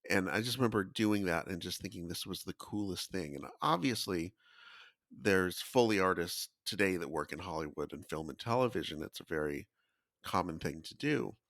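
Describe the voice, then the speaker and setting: Deep-voiced man in informative podcast talk. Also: expressive, moderate pace, fairly bright tone, no room sound, studio quality. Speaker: podcast co-host.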